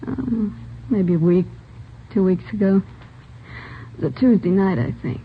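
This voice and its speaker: Husky, brassy nightclub blonde, old radio. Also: female voice, mid-range voice, a little slow. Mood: casual, fond. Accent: American. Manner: slangy, casual, flippant. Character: brassy, flirty nightclub dancer blonde, late twenties. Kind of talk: 1950s radio drama acting. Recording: thin, phone-like old radio recording.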